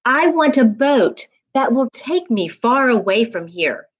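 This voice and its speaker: Woman voicing a plaintive storybook boy, slow. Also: on the high side, very animated, muffled recording. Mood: weary. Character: wanting boy who grows into a weary old man.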